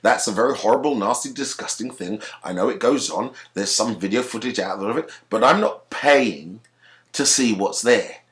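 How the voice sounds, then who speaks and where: A man's voice: lowish voice, moderate pace, fairly bright tone. A man with a British accent, from Africa, ranting to camera in a heated, profane way and often restarting his sentences. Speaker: video commentator.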